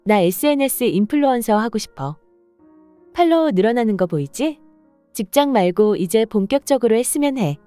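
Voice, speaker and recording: A woman's voice: on the high side, expressive, normal speed, dark tone, smooth, no room sound, faint music behind, lightly acted. A woman voicing an irritable, demanding young wife in a scripted radio story reading. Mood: eager. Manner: accusing, complaining. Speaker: voice actor reading the wife's lines.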